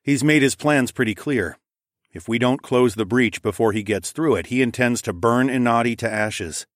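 A man's voice: mid-range voice, normal speed, no room sound, studio quality, close to an everyday voice. A man voicing a confident, frank male dragon lord hero in an audiobook. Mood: explaining. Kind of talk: audiobook character dialogue.

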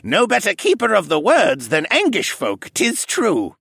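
A man's voice: high-pitched, wildly swooping pitch, moderate pace, creaky, a bit bright, breathy edge, no echo, studio quality, pitched above the performer's own voice, exaggerated voice. A man voicing a tipsy old male koala butler with a slightly slushy, theatrical way of reciting.

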